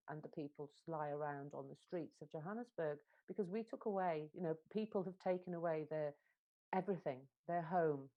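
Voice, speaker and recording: A woman's voice: low-pitched, highs cut off. A woman from Wales, speaking earnestly and with passion as a translator in a panel discussion, often reworking her phrases. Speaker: literary translator.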